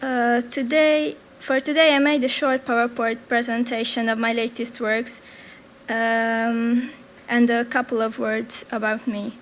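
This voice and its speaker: A woman's voice: a little high, level tone, a little slow, a little hiss or hum. A young woman from Transylvania, a native Hungarian speaker with a Hungarian accent, presenting her artwork in a nervous, hesitant way with many restarts. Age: young adult. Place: event venue.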